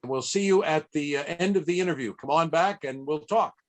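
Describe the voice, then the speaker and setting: A middle-aged American man hosting an online event in a casual, chatty, halting way on a video call. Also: on the high side. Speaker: event host and interviewer.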